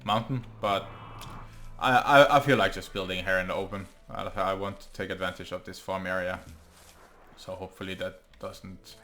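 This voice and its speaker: A young man with a Norwegian accent, English not his first language, casually talking through his gameplay and thinking aloud with frequent ums and pauses. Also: low voice, fairly even intonation, bright, forceful tone, soft background music. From Norway. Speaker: gaming channel host. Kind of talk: let's play commentary.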